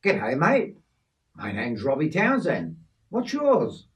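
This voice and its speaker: A man's voice: fairly high pitch, huge pitch swings, slow, distant mic, voice pushed up, heavily acted. A man voicing a friendly, chatty young country lad with an Australian accent. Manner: chatty, easygoing.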